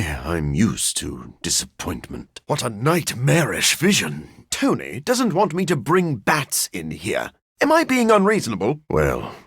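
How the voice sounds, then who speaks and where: Brooding vampire scientist, male, husky, lively intonation, formal and dryly weary, video game antihero. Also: fairly low pitch, dry recording, exaggerated voice. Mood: deadpan. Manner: formal, measured, dry, articulate.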